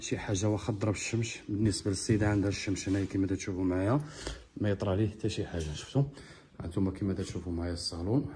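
A man with a Moroccan Arabic accent talks fast as he walks his phone through a large tiled living room. His voice sits low and mellow, with a faint hiss behind it. He pitches his work like a salesman and keeps asking viewers if they see. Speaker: upholstery shop owner showing his work. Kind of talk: walkthrough product showcase. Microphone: phone camera held while walking.

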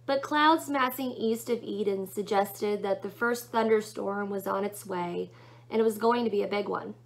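A woman's voice: mid-range voice, moderate pace, creaky, poor audio. A young adult woman narrating a storybook as she reads it aloud in a small room at home. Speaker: book reader.

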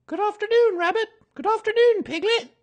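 Kanga, a man doing a shrill, fussy mother kangaroo. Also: dull audio. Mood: fussing. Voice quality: high, squeaky falsetto. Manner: brisk, distracted, ignoring others. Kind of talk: storybook reading.

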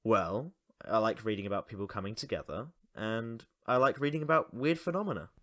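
An actor playing a dry, teasing teenage schoolboy who loves history in an audio drama. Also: male voice, fairly low pitch, a little fast, dry recording, close to an everyday voice. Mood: teasing, laid-back.

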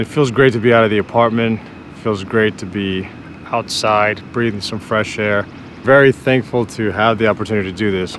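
Guy vlogging straight to his viewers, earnest and a bit reflective, kinda low voice. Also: a little hiss or hum. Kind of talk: casual vlog narration. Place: mix of indoors and outdoors on a hike.

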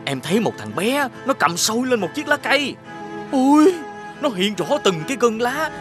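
A man voicing an excitable, soft-hearted little demon in an animated fairy tale, with a childlike manner. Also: very high-pitched, normal speed, over music. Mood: excited.

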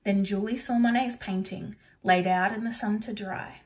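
A young adult woman reading a picture book aloud to children in an expressive storytelling style, recorded indoors on a device about arm's length away. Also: medium pitch, normal speed. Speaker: storybook reader for primary children. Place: small furnished room indoors.

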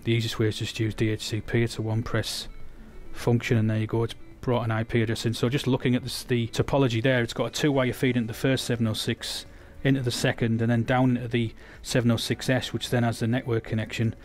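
A man's voice: medium pitch, little pitch movement, fast talker, soft background music. An English man giving a technical product demonstration, explaining steadily with frequent restarts.

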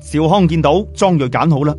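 A man's voice: a little high, fairly quick, darkish tone, faint music behind. A man telling a story in colloquial Cantonese-accented speech, theatrical, as an audiobook storyteller. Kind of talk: animated storytelling with character voices.

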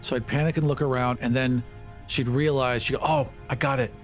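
Mid-pitched man playing a tabletop game. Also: steady tone, fairly quick, faint music behind. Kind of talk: casual in-game narration.

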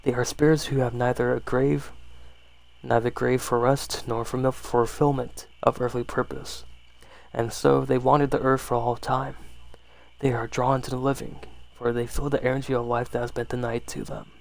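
Creaky-voiced man reading a horror story. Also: medium pitch, flat delivery, normal speed, poor audio.

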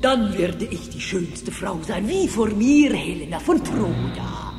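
An actress playing a vain, scheming, greedy witch in a cartoon radio play, with theatrical, drawn-out gloating. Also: medium pitch, big pitch swings, gravelly, darkish tone, full character voice. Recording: reverberant hall, music behind.

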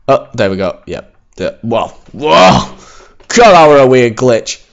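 A man hosts his own let's-play, chatty and self-assured as he clicks through a city build. His voice sounds a little croaky, and the home recording is dull and lo-fi. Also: medium pitch, sing-song, slow. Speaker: gaming channel host. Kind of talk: casual let's-play commentary. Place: home, alone.

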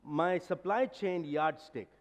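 A man's voice: sits high, slow. Emphatic man on a conference stage. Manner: direct, emphatic, gesturing while talking. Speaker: conference speaker. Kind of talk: conference talk and Q&A. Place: large conference hall stage. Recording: long hall reverb.

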